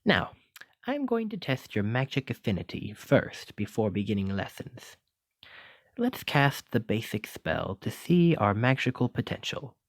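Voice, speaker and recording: A man's voice: mid-range voice, very animated, measured pace, dry recording. A man playing a clumsy, kindly wizard teacher in an ASMR roleplay, with theatrical, old-fashioned, encouraging phrasing. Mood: genteel, instructive. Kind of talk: ASMR roleplay addressed to the listener.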